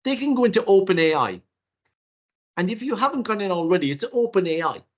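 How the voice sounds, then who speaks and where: An older Irish man whose first language is Irish Gaelic gives a webinar workshop in an animated, rhetorical way, with theatrical asides, heard over a video call. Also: high-pitched, fairly quick. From Ireland. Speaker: workshop presenter. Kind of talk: webinar lecture. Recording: dull lo-fi webinar audio.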